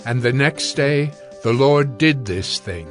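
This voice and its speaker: A man's voice: mid-range voice, animated, slow. A man narrating scripture from a script in a solemn storytelling style. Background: music.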